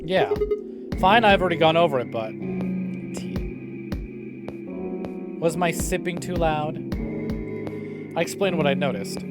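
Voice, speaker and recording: A man's voice: a little high, unhurried, long pauses. A male streamer reading a visual novel aloud, with playful, wry asides. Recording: game music behind the voice. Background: clear game music.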